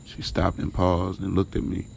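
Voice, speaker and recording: A man's voice: low-pitched, completely flat delivery, normal speed, vocal fry, a little mellow, slight background noise. A man playing a smitten driver in an audio drama, candidly recounting his night in informal, slangy first-person storytelling. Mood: earnest, reflective. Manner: informal, slangy, acting out dialogue. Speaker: narrator and lead character of an audio drama.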